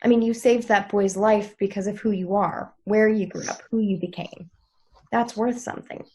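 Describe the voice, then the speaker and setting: A woman's voice: medium pitch, steady tone, moderate pace, dark tone, a little hiss or hum, close to an everyday voice. A woman playing a frank, teasing yet supportive young woman in a TV drama read, conversational in delivery. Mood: sincere, supportive.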